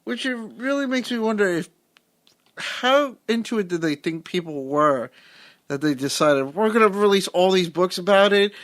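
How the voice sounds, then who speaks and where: Man, slightly high pitch, dry close sound, musing podcast co-host. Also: animated, moderate pace, a bit bright, no room sound. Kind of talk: casual movie podcast chat.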